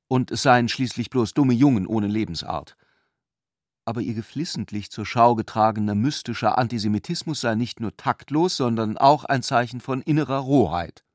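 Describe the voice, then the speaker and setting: A man's voice: mid-range voice, no room sound, studio quality. A man narrating a literary radio drama as a scripted storyteller.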